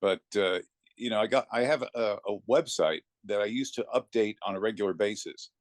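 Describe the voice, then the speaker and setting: A man's voice: lowish voice, brisk, dry recording. A middle-aged or older man, a voice actor, telling anecdotes casually as an interview guest.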